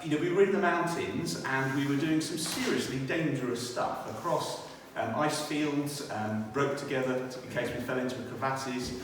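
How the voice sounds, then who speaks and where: A British man giving a personal testimony and scripture reading in church, speaking in a reflective, somewhat hesitant way. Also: medium pitch. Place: inside a church. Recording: echoey church hall, distant, faint noise.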